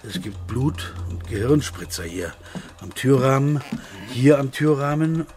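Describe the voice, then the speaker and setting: An actor playing a dogged private detective in a radio crime drama, probing and sardonic. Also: male voice, mid-range voice, lively intonation, unhurried, a little mellow, lightly acted. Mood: brisk, investigative.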